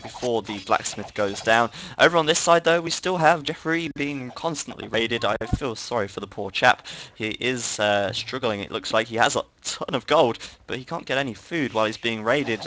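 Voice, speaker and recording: Guy streaming game commentary, crisp bright tone, mid pitch, rambly and relaxed. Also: a little hiss or hum. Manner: chatty, self-correcting, laughs at own slips. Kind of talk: live play-by-play commentary.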